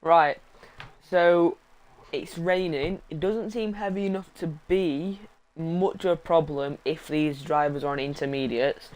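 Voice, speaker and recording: A hoarse man with a sore throat giving live sim racing commentary, blunt and joking with his co-host, clearing his throat now and then. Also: on the high side, a little slow, a little mellow.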